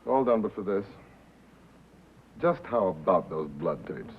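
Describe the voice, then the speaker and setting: An actor playing a wry, guarded, quietly troubled radio reporter in a 1950s film drama, with dry, understated, clipped replies. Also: male voice, deep voice, lively intonation, measured pace. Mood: troubled, subdued. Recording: tinny, noisy 1950s film soundtrack.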